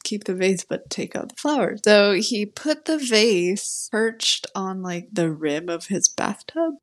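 A woman's voice: medium pitch, lively intonation, takes it slowly. A woman co-hosting a podcast, chatting casually and telling a long story with many "like" fillers. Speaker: podcast co-host, attorney.

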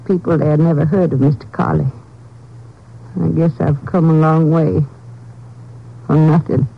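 A woman's voice: low voice, steady tone, unhurried, gravelly, breathy edge, exaggerated voice. An actress playing a weary, troubled elderly woman in a 1950s radio drama, hesitant, polite and subdued. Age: older. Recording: dull, lo-fi old-time radio recording.

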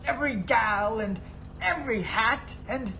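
Casting: crazed gnome inventor, very high-pitched, slow, defiant and fearful, storybook style. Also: male voice, a little hiss or hum, full character voice. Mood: paranoid dread. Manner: crazed, defiant, shouting outbursts. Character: paranoid, raging, anxious male gnome inventor.

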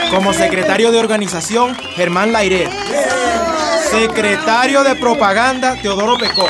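An actor playing a jocular party comrade at an assembly in a radio drama. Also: male voice, high voice, close to an everyday voice. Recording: loud music and crowd behind. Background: loud music, crowd.

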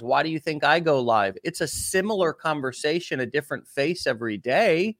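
A man's voice: on the high side, fairly bright tone, no room sound, clean studio sound. A man hosting a coaching interview, encouraging and asking long, rambling questions. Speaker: host.

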